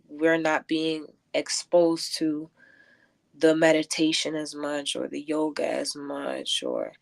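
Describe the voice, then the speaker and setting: Low-voiced woman, reflective interview guest. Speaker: interview guest, event curator and poet. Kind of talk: casual conversational interview.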